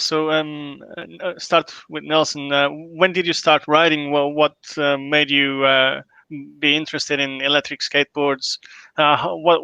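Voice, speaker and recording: A man's voice: a little high, pushed and bright, clean tone, no echo, low-quality recording. A man hosting a livestream interview and asking encouraging follow-up questions. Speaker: host.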